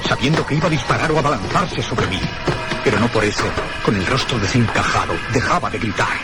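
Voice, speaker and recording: An actor playing a haunted man recounting his ordeal in a radio horror drama, with theatrical, deliberate phrasing. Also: medium pitch, over loud music, lightly acted. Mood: haunted dread.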